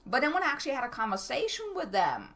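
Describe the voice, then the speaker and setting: A middle-aged woman from Germany with a German accent, giving a motivational talk as a business coach. She talks fast, direct, and stumbles over her words. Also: sits high, sing-song, a bit bright.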